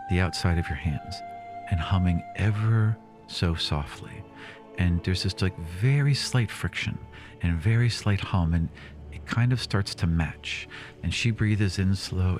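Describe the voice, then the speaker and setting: A man speaking as the host and game master of a tabletop fantasy show. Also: deep voice.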